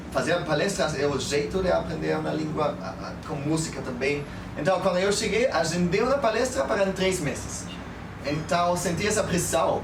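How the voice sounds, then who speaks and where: A man, telling stories and giving advice casually, haltingly, mixing in foreign words. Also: a little high. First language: German. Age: 30s. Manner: halting non-native speech peppered with Spanish and English words and tag questions. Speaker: guest, public speaker. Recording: echoey, noisy, distant mic.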